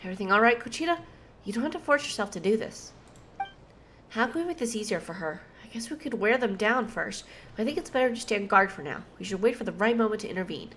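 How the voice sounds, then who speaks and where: A woman's voice: mid-range voice, expressive, quick pace, fairly bright tone, slight background noise. A woman streaming a game, giving blunt casual reactions and reading dialogue aloud in an informal way.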